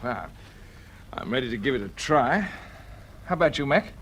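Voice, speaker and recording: A man playing a plucky, steady spaceship captain in a 1950s radio drama, informal with his crew. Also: mid-range voice, moderate pace, slightly rough. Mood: resolute. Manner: informal, ready, team-leading.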